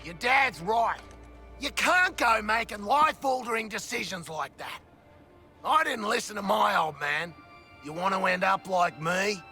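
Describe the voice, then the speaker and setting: An actor playing a brash, rueful convict in a video game, loudly admonishing. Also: male voice, sits high, animated, unhurried, faint music behind, full character voice. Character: brash, rueful male convict squad member. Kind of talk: video game cutscene acting.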